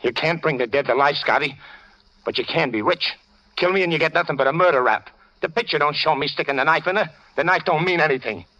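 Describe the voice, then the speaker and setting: A man's voice: fairly high pitch, fast talker, pushed and bright, no echo. An actor playing a menacing, mocking gangster killer in a 1940s radio crime drama, with slangy tough-guy talk. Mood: anxious bargaining. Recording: dull vintage radio recording.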